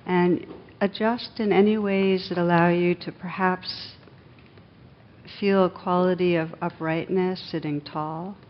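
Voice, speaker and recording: A woman meditation teacher leading a guided meditation in a gentle, unhurried manner with long pauses, on a dull recording. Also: fairly even intonation. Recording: dull, muffled sound with faint rumbling noise.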